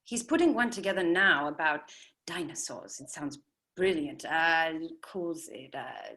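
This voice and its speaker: A woman acting a glamorous, quick-witted 1940s scientist in a table read, poised and knowing. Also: low-pitched, expressive, a bit bright, exaggerated voice. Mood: coy, chatty.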